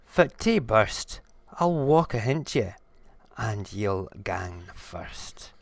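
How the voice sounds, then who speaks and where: A hungry, hulking Scottish storybook monster, played by a man, menacing yet easily fooled. The voice is low and gravelly, with slow, deliberate phrasing. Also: big pitch swings, no room sound, full character voice. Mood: suspicious. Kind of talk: storybook character reading.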